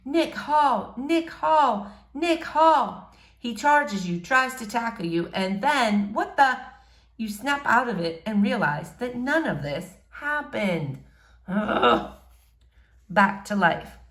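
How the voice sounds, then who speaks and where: A woman reading a novel in verse aloud, expressively, in short measured phrases. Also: mid-range voice, very animated, a bit bright. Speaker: audiobook-style reader.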